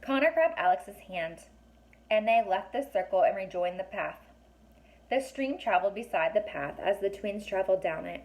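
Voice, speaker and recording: A woman reading a children's story aloud, pausing to ask young listeners questions and explain things in a chatty way. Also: medium pitch, lively intonation, darkish tone, rough recording. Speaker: teacher or reader reading a children's book aloud.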